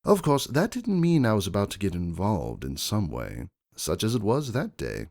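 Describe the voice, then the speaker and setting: A man plays a shrewd aristocratic unicorn stallion narrating a dramatic fantasy story. The voice is very low with a gravelly creak, measured and refined in manner, with highly animated intonation. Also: exaggerated voice. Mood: composed, calculating. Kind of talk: first-person dramatic fan fiction narration.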